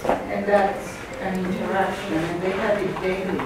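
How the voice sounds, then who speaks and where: A woman's voice: mid-range voice, fairly even intonation, a little slow, a crowd in the background. Distant, echoing woman in an audience. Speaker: audience member asking a question. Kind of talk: audience question and comment. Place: lecture hall audience, indoors. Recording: very echoey, distant, noisy room.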